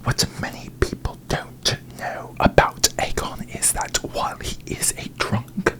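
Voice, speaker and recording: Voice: measured pace, lightly acted. Someone acting a haughty whispering fantasy queen. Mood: scornful, dry. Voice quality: breathy whisper. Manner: pompous, deadpan, regal formal diction. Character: haughty, disdainful royal dragon queen, fantasy parody. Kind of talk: whispered ASMR, in-character comic monologue.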